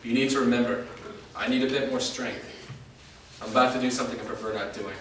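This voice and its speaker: Male actor as resolute half-vampire warrior in a fantasy stage reading, measured delivery, mid pitch, distant mic. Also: steady tone, lightly acted. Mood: grave, resolute. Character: wounded male part-vampire fighter, grave and resolute. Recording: echoey room, distant mic, faint noise.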